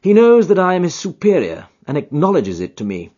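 A man reading aloud voices a sharp, aloof male detective, quick and superior. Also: high voice, huge pitch swings, pitched above the performer's own voice, full character voice. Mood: languid. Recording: dull lo-fi recording.

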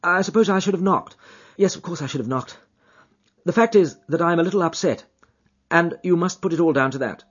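A man voicing a distressed, reserved man in his thirties who speaks in sharp, jerky outbursts. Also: fairly high pitch, fast, slightly rough, lo-fi sound, voice pushed up. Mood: distraught.

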